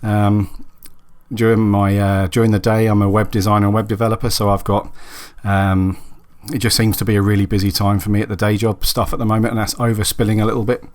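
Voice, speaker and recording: A man hosting a solo podcast who sounds raspy and husky from a cold. He chats casually and rambles, with many ums and restarts. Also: on the low side, level tone, brisk, a little mellow. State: hoarse from a cold. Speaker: podcast host.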